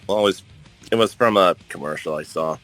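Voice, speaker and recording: Hesitant low-voiced man from Minnesota. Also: brisk, a bit bright, faint music behind. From Minnesota, USA. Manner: hesitant, lots of uh. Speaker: podcast guest. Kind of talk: casual political discussion. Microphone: call recorder.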